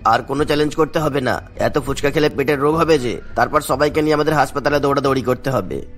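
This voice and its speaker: A man's voice: mid-range voice, fast, faint music behind, close to an everyday voice. A man voicing a stern, concerned man of authority in a cartoon, firm and scolding. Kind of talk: cartoon voice acting.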